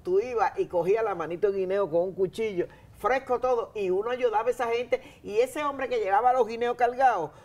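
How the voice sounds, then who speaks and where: A woman's voice: on the high side, a little fast, hardly any pauses, a little mellow. An emphatic, chatty woman in her fifties with a Dominican accent hosts a studio talk show. She speaks through a lapel mic and keeps turning to the audience. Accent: Dominican Spanish. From Dominican Republic. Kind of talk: casual studio interview. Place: TV studio set, seated in an armchair.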